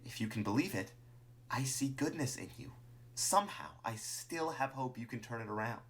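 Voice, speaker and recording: Man voicing an earnest high-pitched monster woman. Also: lively intonation, natural voice. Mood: hopeful pleading. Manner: earnest, halting, pleading. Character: earnest female monster, reproachful, pleading, hopeful of peace.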